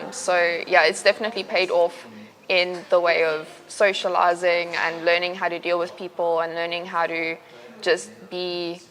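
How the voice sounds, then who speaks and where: Student interviewee, young woman, South African accent, bright tone, relaxed and filler-heavy. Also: lowish voice, poor audio. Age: young adult. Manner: relaxed, chatty, lots of fillers like "um, so yeah". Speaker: university student. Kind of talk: casual interview answers.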